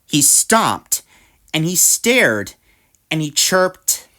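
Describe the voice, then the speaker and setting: Lady reading a rhyming kids book out loud, low voice, slow, lots of expression. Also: sing-song. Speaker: storybook reader. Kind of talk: expressive rhyming storybook narration.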